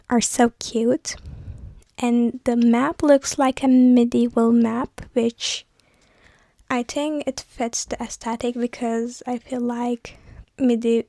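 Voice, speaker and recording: A young woman whose first language is Turkish, chatting softly about her thrift finds and books in a casual vlog, with pauses and restarts. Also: on the high side, dry recording, clean studio sound. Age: young adult. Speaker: vlogger, university student. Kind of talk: casual vlog voice-over chat.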